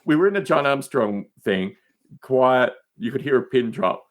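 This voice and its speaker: A man's voice: medium pitch, lively intonation, moderate pace. A man chatting casually as a podcast guest, telling digressive anecdotes with emphatic asides.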